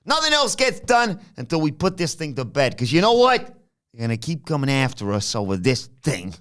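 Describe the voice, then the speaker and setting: Man doing a high whiny crime boss. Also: huge pitch swings, moderate pace, a bit bright. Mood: scheming. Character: high-voiced fussy male crime-family boss.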